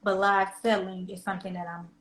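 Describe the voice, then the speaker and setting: A woman's voice: mid-range voice, level tone, a little fast. A woman with a Southern American accent, chatting candidly as an interview guest and laughing often. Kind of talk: casual interview chat. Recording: echoey room with faint hum.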